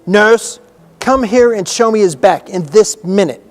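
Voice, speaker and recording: A man's voice: high-pitched, expressive, measured pace, long reverb tail, soft background music, pitched above the performer's own voice. A man voicing a fierce, sour, hot-tempered young girl in a storybook, scolding emphatically. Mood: fierce, commanding.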